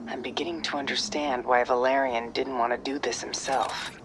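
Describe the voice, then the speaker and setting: A woman's voice: a bass voice, fairly even intonation, normal speed, background noise. A woman playing a cool, wry female special-ops soldier in a video game, terse and focused. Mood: resolute. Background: loud music.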